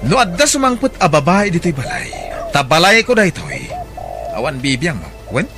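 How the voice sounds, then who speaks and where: An actor playing a bossy, unfaithful husband in an Ilocano radio drama, with an Ilocano accent. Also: male voice, high voice, wildly swooping pitch, moderate pace, over music. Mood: domineering, irritated. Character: domineering, unfaithful husband bossing his wife around.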